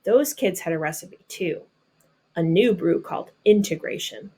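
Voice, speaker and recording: A woman with an American accent reads a picture book aloud like a teacher, pausing to explain things simply and patiently to children. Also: lowish voice, lively intonation, dark tone, slightly rough. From North Carolina, United States.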